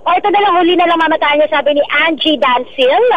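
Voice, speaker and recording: A woman's voice: sits high, quick pace, crowd noise. A woman with a Filipino accent hosting a radio show with playful, exclamatory banter. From Philippines. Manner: playful, exclamatory, mixes in English phrases. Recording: dull band-limited radio audio with noise.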